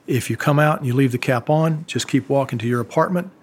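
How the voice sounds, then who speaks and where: A man, a former embassy security agent, recounting events in a measured, storytelling way with occasional fillers. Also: medium pitch. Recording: music bed underneath.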